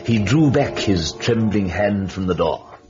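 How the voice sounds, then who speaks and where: An actor playing a sardonic, ominous narrator of a suspense radio play, theatrical and taunting. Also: male voice, fairly low pitch, expressive, a little slow. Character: sardonic, ominous male storyteller host. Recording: dull old radio recording, loud music.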